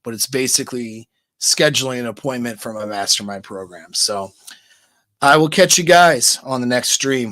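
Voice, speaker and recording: A man giving relaxed, chatty dating-coach advice on a livestream, speaking close to a microphone. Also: no echo. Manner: demonstrates voice pitch changes, relaxed and chatty. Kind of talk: casual livestream coaching talk.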